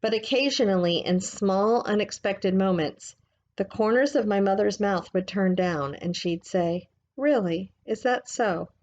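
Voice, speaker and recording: A woman reading a book aloud like a teacher, in a gentle, measured, explanatory way. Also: mid-range voice, dull audio.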